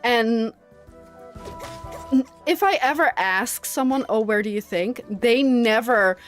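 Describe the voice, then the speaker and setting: A woman's voice: fairly high pitch, slow pace, soft background music. A young woman from the Netherlands, a native Dutch speaker, chatting casually and rambling with many fillers while streaming a game, often breaking into giggles. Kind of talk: casual chat while gaming.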